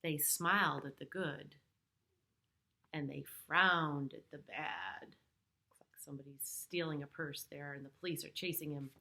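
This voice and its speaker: A woman's voice: low-pitched, measured pace, bright, forceful tone. A middle-aged woman reads a picture book aloud to young children, adding simple explanatory asides. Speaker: preschool storyteller reading aloud.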